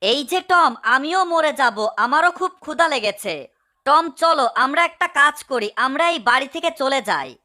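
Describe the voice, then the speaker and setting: A woman voicing a small, scheming, excitable mouse in a cartoon dub. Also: high voice, a little slow, heavily acted. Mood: urgent. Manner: eager, urging, exclaiming.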